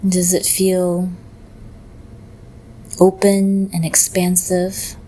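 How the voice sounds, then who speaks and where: A woman leading a guided meditation in a gentle, measured way, leaving long pauses between phrases. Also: on the low side, completely flat delivery, a little hiss or hum.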